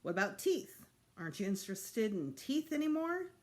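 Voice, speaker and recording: A woman's voice: on the high side, very animated, moderate pace. A woman voicing an exasperated, blunt preteen big brother in a storybook, pleading and emphatic. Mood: puzzled, challenging.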